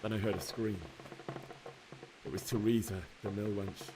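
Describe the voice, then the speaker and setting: A low-voiced young man, played by a male game actor, a blacksmith's son telling of slaughter he survived. He speaks with earnest sorrow, like a cutscene confession. Clear noise runs behind his voice. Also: flat delivery, normal speed, natural voice. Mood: shaken. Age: young adult. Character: grieving young blacksmith's son recounting a massacre. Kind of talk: dramatic game cutscene acting.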